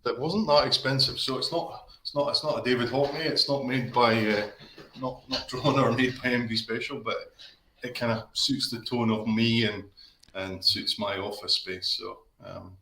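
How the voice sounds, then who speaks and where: A man's voice: mid-range voice, steady tone, normal speed, bright, forceful tone, roomy sound, a little hiss or hum, rough recording. A man in his fifties with an Aberdeen Scottish accent, chatting as a guest on a live stream, rambling with lots of restarts and fillers. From Scotland. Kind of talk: casual live-stream chat. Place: home office. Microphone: video call.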